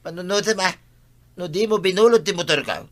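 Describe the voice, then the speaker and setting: A man's voice: sits high, a little fast, natural voice. An actor with an Ilocano accent playing a male villager in a radio comedy drama. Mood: insistent.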